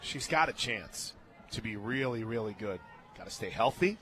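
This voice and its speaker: An American man doing live radio play-by-play of softball, conversational and clipped, lifting into emphatic calls. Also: fairly low pitch, lively intonation, normal speed. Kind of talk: live softball radio commentary with casual banter. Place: stadium radio booth.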